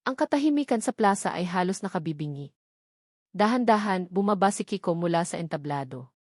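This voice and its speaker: A woman's voice: on the low side, lively intonation, a little fast, a little mellow, no echo, clean studio sound. A woman with a Filipino accent narrating a scripted, dramatic love story as a storyteller.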